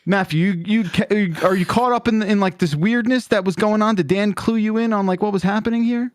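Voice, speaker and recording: A man's voice: high voice, fairly quick. A man hosting a podcast in casual chat, swearing and rambling emphatically with exasperation. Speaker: podcast host.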